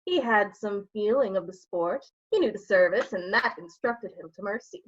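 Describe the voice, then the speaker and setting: A woman acting a bawdy, slanderous gentleman rogue in a staged Shakespeare reading, with a cheeky, insinuating, theatrical delivery. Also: medium pitch, animated, normal speed, breathy edge, lo-fi sound. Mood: mocking, gossiping.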